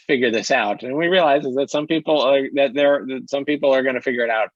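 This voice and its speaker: A man speaking as an interview guest, chatting casually with halting, repeated words. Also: medium pitch, no room sound. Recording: slightly dull, dry audio.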